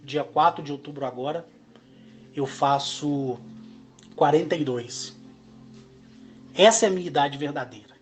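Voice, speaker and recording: A Brazilian man in his forties, speaking in a slow, emphatic monologue broken into short phrases. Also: a little high. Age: 40s. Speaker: channel host. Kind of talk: monologue to camera.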